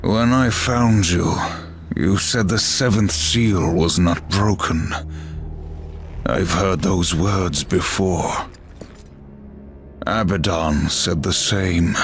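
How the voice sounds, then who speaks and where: A man voicing a cold, stoic warrior Horseman in a video game, terse and slow. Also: low-pitched, a bit bright, a little hiss or hum, full character voice. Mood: stern. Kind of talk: video game cutscene acting. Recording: game score under the voice.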